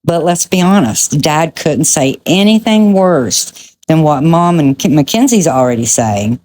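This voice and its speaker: Female true crime commentator, low register, blunt and emphatic, opinionated, with clear background noise. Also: lively intonation, unhurried, a little mellow.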